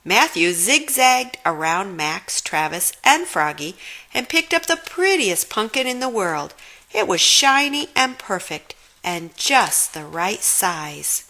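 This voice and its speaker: A woman's voice: mid-range voice, very animated, slow, pushed and bright. A woman reading a children's storybook aloud expressively, giving dramatic emphasis to the sound words. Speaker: storybook reader.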